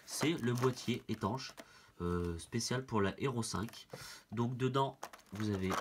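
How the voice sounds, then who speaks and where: A man's voice: medium pitch, background noise. A man with a head cold, demonstrating a product in a casual, hesitant way with many fillers. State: has a head cold, stuffy. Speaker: product reviewer. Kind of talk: casual hands-on product demo.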